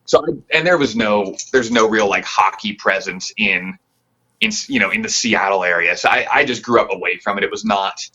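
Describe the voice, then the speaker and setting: A man's voice: fairly low pitch, big pitch swings, normal speed, bright, forceful tone, slightly rough, muffled recording. A man from the Pacific Northwest, a band member, chatting as a guest in a loose, rambling, earnest way. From Pacific Northwest, near Tacoma. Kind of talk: casual interview chat.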